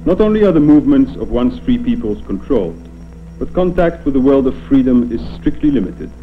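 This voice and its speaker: A man's voice: medium pitch, very animated, brisk, phone-quality sound, faint music behind. A man from Poland reads scripted 1960s documentary narration as a newscaster, on an old film soundtrack. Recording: thin, band-limited old film soundtrack.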